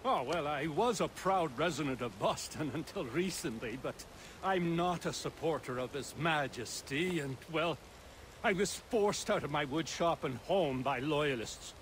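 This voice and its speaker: Polite, high-voiced colonial craftsman. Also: animated, measured pace, a little hiss or hum. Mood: grateful, rueful. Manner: polite, old-fashioned, wordy. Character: courteous, modest colonial woodworker, shaken but chatty. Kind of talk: video game cutscene acting.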